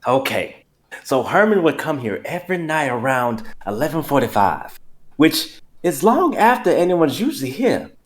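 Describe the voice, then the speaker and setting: Man playing a gossipy night-shift cashier, higher male voice, swooping intonation, insistent, amateur radio-play style. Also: sing-song, normal speed. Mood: confiding. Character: suspicious, opinionated male late-night store cashier. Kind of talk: amateur dramatic script reading.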